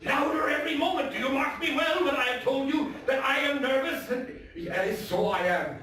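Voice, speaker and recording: Obsessive nervous madman, gothic horror stage reading, intense, halting, dramatic swings, very echoey, far from mic. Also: extremely high pitch, voice pushed up. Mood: mounting frenzy. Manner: intense, halting, swinging from hushed whispers to shouts. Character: nervous, obsessive madman confessing a murder, gloating and fearful. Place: live stage performance in a theatre. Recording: echoey theatre from far off, faint noise.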